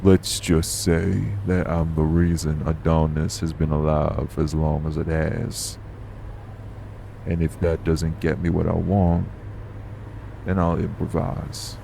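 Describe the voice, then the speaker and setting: A man acts out a shady, long-lived supernatural man in an urban fantasy audio drama. His voice is extremely low and full of gravel, kept to a narrow, flat line. He sounds guarded and world-weary, with faint vehicle noise behind him. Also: slow pace, exaggerated voice. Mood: guarded, grim. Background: vehicle on the road.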